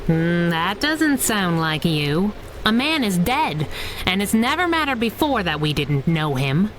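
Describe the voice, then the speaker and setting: A woman playing a plucky, wisecracking female sidekick to a masked crimefighter in a 1930s-style radio drama, brisk and irreverent. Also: fairly low pitch, sing-song, a little hiss or hum, low-quality recording. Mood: pointed.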